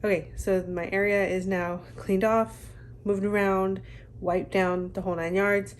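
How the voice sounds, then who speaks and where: A woman's voice: medium pitch, a little slow, a little hiss or hum. Young woman chatting, slightly stuffy nose. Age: young adult. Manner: relaxed, chatty, many ums. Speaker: small business owner vlogger. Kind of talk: casual vlog chat. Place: home craft room. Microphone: phone camera at arm's length.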